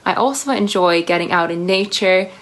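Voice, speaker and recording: Lower-voiced young Irish woman. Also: lively intonation, normal speed, rough recording. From Ireland. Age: young adult. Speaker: nursing student, pageant contestant.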